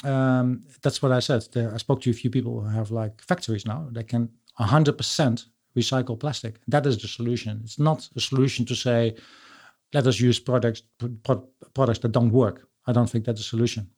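A man's voice: medium pitch, fairly even intonation, a little mellow. Blunt Dutch-accented man. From Netherlands. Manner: skeptical, blunt, pauses with "uh". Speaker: podcast host. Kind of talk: casual chat.

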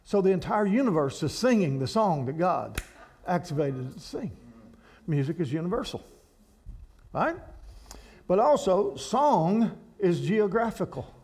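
A man preaching to a church congregation, animated and rambling, with frequent restarts. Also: high-pitched, unhurried, breathy edge. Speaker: guest speaker at a church. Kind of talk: sermon to a congregation.

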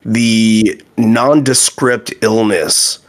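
A man hosts a music podcast in a low, somewhat hoarse voice. He talks in a conversational way, like a host addressing regular listeners. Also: fairly even intonation, very slow.

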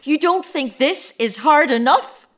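A woman's voice: high-pitched, lively intonation, unhurried, a bit bright. A woman acting in an audio drama as a grieving, sceptical mother, terse and challenging with the man who killed her son. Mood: angry, hurt. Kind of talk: naturalistic radio drama acting.

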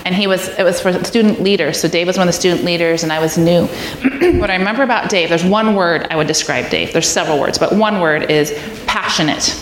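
A middle-aged woman, a guest preacher, speaking in an informal, earnest, conversational way with personal anecdotes. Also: on the low side. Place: large echoey church room, live audience. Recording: echoey hall, clear background noise.

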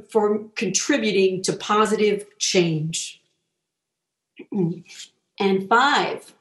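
A woman reads a reflective passage aloud, slowly and deliberately, taking her time over each phrase. Her voice carries in an echoey room. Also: medium pitch. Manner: slow, deliberate reading. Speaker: reader.

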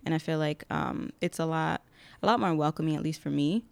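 A young woman from Connecticut, a podcast guest chatting casually, relaxed and laughing often. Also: low voice, fairly quick, vocal fry, smooth, no echo. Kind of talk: casual interview chat.

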